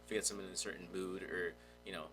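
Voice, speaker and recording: A man's voice: low voice, flat delivery, quick pace, background noise. A young man hosting a podcast interview, chatting in an informal, slangy way. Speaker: podcast host. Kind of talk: casual chat.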